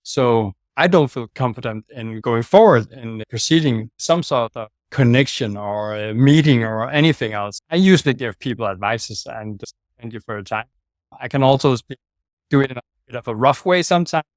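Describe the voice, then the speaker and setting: A man's voice: medium pitch, lively intonation, normal speed, no echo, studio quality. A man with a Danish accent, a sales manager giving direct, plain-spoken answers as an interview guest over a video call. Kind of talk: casual interview talk.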